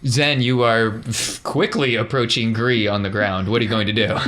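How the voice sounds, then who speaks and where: A man's voice: mid-range voice, fairly even intonation, normal speed, a bit bright, a little hiss or hum. A man narrating a tabletop game as game master in a casual, improvised way.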